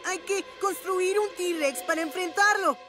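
Eager young boy superhero played by a woman, Latin American Spanish, very high, earnest cartoon energy. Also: a little slow. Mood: excited. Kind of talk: dubbed children's cartoon acting. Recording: music behind the voice.

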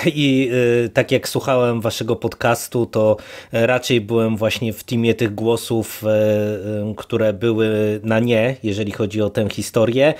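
A man's voice: lowish voice, flat delivery, measured pace, a little mellow. A man co-hosting a podcast in casual discussion, speaking hesitantly and building sentences in fragments. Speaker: podcast co-host.

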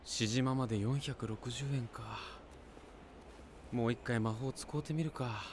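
An actor voicing a hot-headed, protective young student in an anime film, dramatic and suspicious in manner. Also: male voice, medium pitch, measured pace, background noise, close to an everyday voice. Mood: musing. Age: young adult.

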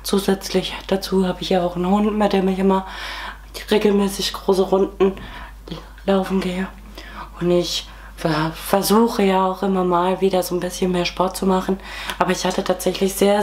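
A young woman in her twenties with a cold, hoarse, chatting casually through a makeup tutorial at home with many fillers. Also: fairly low pitch, darkish tone. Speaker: beauty vlogger. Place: small room at home, indoors.

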